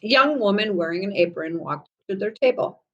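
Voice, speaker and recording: A woman reading a children's story aloud with deliberate storytelling phrasing. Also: mid-range voice, animated, muffled recording.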